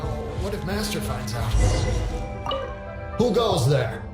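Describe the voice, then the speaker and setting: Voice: medium pitch, big pitch swings, slow, echoey room, loud music behind the voice. An actor voicing a nervous, groveling male mansion guard who stammers and pleads.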